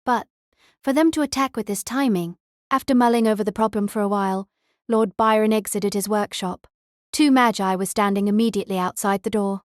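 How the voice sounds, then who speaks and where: A woman narrates a fantasy novel as an audiobook. Her voice sits at a medium pitch, and the recording is dry with no room sound. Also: fairly even intonation, brisk, no echo. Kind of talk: scripted story narration.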